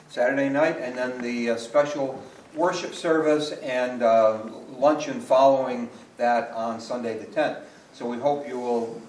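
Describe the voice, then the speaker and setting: A man welcoming the audience to a church play and announcing events, relaxed and hesitant, with many fillers. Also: medium pitch, a little hiss or hum. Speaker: church member introducing the performance. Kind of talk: informal welcome speech. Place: church sanctuary. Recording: echoey church from a distance.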